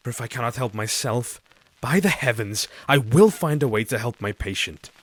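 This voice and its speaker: A man's voice: mid-range voice, animated, husky. A man playing an earnest, lovelorn young doctor. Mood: brooding. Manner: earnest, formal and measured. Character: lovelorn young male doctor keeping a diary. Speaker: asylum doctor and narrator. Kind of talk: audio drama narration.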